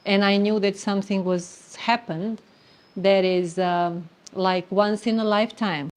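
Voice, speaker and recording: A middle-aged woman with a Serbian accent speaks at an ordinary, mid-range pitch. She talks earnestly and haltingly, pausing on fillers, as she shares her personal spiritual story. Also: fairly even intonation. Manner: earnest, halting, non-native grammar with many fillers. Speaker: interviewee sharing spiritual story. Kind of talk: reflective personal testimony.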